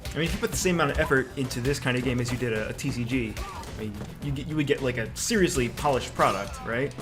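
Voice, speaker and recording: Quick-talking man commenting on a game. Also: medium pitch, over music. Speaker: gaming co-commentator. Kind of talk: casual co-op gameplay commentary.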